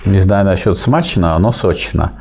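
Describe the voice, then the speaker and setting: An older man discussing philosophy in a relaxed academic conversation, teaching with questions and frequent fillers. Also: sits low, unhurried, vocal fry, slightly rough. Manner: didactic, questioning, with many fillers and restarts.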